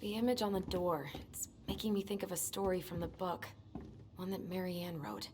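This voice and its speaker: A woman acting in a video game as a practical, playful young American woman, speaking in a conversational, gently coaxing way. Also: lowish voice, fairly even intonation, normal speed, natural voice. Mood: curious. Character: practical, playful young woman, supportive sibling and puzzle lover. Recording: noisy streamed game audio.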